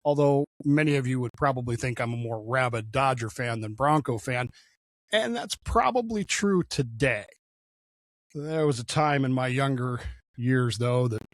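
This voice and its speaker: A man's voice: mid-range voice, dry recording. A man in his sixties, a show host, talking through an unscripted solo monologue in a conversational, digressive way with frequent fillers and restarts. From Oklahoma, raised in Denver.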